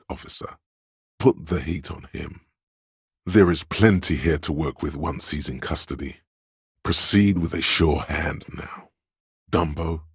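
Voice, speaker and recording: An actor playing a stern, commanding inner voice of police authority in a video game, giving imperious, clipped orders. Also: male voice, extremely low pitch, breathy edge, no echo, studio quality, full character voice. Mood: urgent, commanding. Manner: imperious, clipped orders, addressing listener as recruit. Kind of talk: video game character narration.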